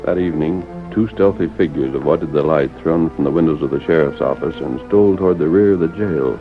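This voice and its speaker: A man's voice: a bass voice, brisk, over music. A man narrating an old-time radio western in a dramatic, scripted style. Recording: muffled old radio broadcast.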